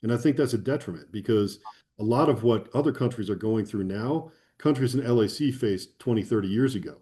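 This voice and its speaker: A man's voice: mid-range voice, brisk, mellow. A man speaking as an interview guest, thoughtful and enthusiastic. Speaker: conference guest. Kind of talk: conversational interview.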